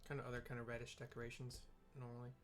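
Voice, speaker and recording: A man casually commenting on a video game as he plays, relaxed and describing the scenes. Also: mid-range voice, very fast, faint music behind. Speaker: gamer narrating a playthrough. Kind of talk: casual commentary over gameplay.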